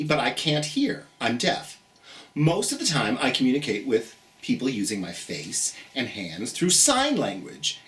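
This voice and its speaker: A children's storytime host reads aloud in an expressive, encouraging way, speaking directly to young listeners. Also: mid-range voice. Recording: roomy, echoey sound.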